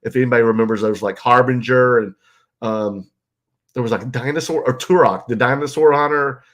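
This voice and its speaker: A man's voice: mid-range voice, fairly quick. Brief: male host, nasal and stuffed up from a cold, loose rambling chatter, home bedroom stream. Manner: rambling, loose, full of ums and you-knows. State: stuffed-up nose and congested from illness. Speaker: livestream host. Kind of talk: casual live-stream chat reading viewer comments.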